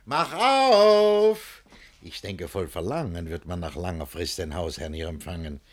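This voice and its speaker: A man's voice: sits low, fairly even intonation, a little fast, husky. An actor playing a pompous, controlling middle-aged master who declaims rhymed verse and blusters at his servants. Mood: bellowing. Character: pompous controlling middle-aged man, vain about his new noble name. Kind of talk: classical verse comedy acting.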